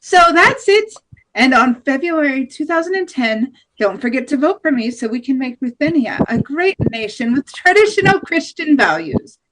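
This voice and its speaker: Strident nationalist woman candidate, read by an actress, higher-pitched, declaiming campaign promises in a table read. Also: sing-song, normal speed, a bit bright, a little hiss or hum, rough recording. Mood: strident, assertive. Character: hardline far-right female presidential candidate, strident nationalist. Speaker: actor in an amateur table read. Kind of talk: campaign speech read from script.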